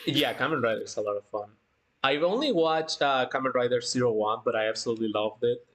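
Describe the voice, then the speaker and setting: A man hosting a casual livestream chat, talking informally in a halting way and often repeating himself. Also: mid-range voice, fairly bright tone. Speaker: livestream host.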